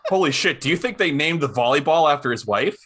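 A man's voice: fairly high pitch, expressive, fast. A man co-hosting a podcast, laughing a lot and exclaiming in casual movie banter. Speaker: podcast co-host. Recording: loud background noise.

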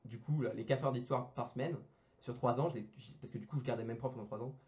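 A young man talking quickly in loose, casual speech, tripping over fillers and restarting his sentences. His slangy chat comes through on a dull, lo-fi recording. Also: medium pitch, darkish tone. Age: young adult. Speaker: engineering student guest.

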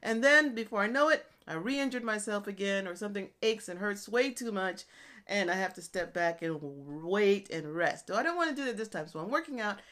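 A woman's voice: medium pitch, expressive, fairly quick, a bit bright. A woman in her fifties, a fitness coach, talking casually on her podcast in a chatty, self-deprecating way with frequent laughs. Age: 50s. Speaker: online fitness and nutrition coach. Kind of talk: casual solo podcast talk.

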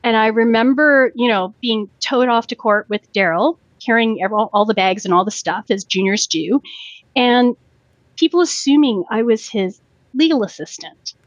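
A woman lawyer in her forties, from Canada, speaks in an unhurried, reflective way and often restarts her sentences. The recording is dry and close, with a slightly muffled top end. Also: on the high side, no room sound. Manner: thoughtful, with frequent fillers and restarts. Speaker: lawyer, podcast guest. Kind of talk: reflective podcast interview.

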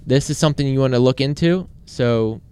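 A man's voice: mid-range voice, a little fast, a little mellow, smooth. A man co-hosting a casual sports podcast, with a dry, teasing way of talking. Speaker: podcast co-host.

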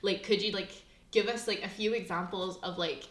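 A woman's voice: mid-range voice, steady tone, normal speed, a bit bright, roomy sound. A young woman hosting a student podcast, asking questions in a chatty way that is full of "like". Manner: chatty, heavy use of "like". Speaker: podcast host. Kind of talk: casual podcast interview.

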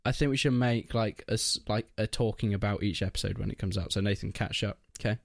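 A young man with a British accent chatting casually with friends as a podcast co-host, excitable and opinionated. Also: lowish voice, fast talker, creaky, no echo, studio quality. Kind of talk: casual chat among friends.

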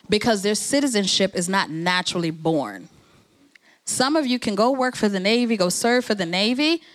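A woman from New Jersey speaking as an organization's president at a networking event. She talks in a casual, conversational way and keeps rallying and encouraging her listeners. Her voice sits at an ordinary pitch. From New Jersey, USA.

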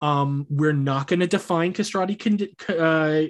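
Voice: mid-range voice, measured pace. A podcast co-host chatting casually about musical theater, hedging and qualifying each point. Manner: hedging, rambling, qualifies every claim.

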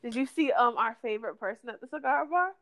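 Bright, smooth-voiced woman co-host. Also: a little high, quick pace. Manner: lively, exclamatory reactions and slang. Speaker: podcast co-host. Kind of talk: casual chat.

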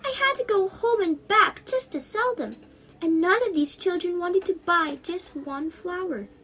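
A woman's voice: very high-pitched, animated, a little slow, fairly bright tone, voice pushed up, full character voice. A teenage young woman voicing a poor, plaintive young flower seller in a storybook reading. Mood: dejected. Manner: plaintive, crying out. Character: poor, hungry, sorrowful young flower-selling girl.

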